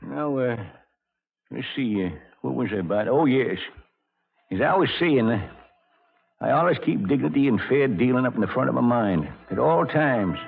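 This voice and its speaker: On a muffled vintage radio recording, an older frontier judge with a gravelly Western drawl. He is folksy and self-important, swinging his pitch widely as he hands down pronouncements like a saloon king. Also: expressive, normal speed. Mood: self-satisfied. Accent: American Western drawl. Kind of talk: old-time radio drama acting.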